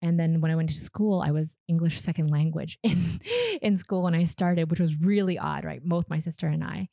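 A woman's voice: low-pitched, fairly even intonation, normal speed, vocal fry, mellow, no room sound, clean studio sound. A Canadian-born woman whose first language was Vietnamese, casually telling her story as an interview guest, chatty with fillers and small laughs.

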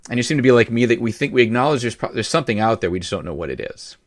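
A man's voice: mid-range voice, animated, rapid-fire. A man hosting a podcast, asking rambling questions with many restarts in a casual interview. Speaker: podcast host.

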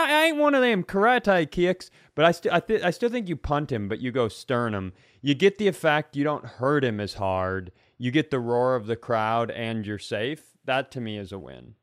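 A man's voice: mid-range voice, expressive, unhurried, few pauses, fairly bright tone, clear, resonant tone, no echo, studio quality. An American man co-hosting a podcast, chatting casually and comically as he argues hypotheticals step by step. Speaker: podcast co-host. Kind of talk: casual comedic chat.

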